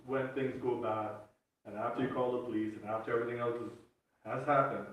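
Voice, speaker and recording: A man's voice: mid-range voice, fairly even intonation, quick pace, distant mic. Middle-aged man, muffled in a very echoey room. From born in Sri Lanka, raised in Africa. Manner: jokey, self-deprecating. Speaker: insurance broker, guest speaker. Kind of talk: informal public talk. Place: public meeting room.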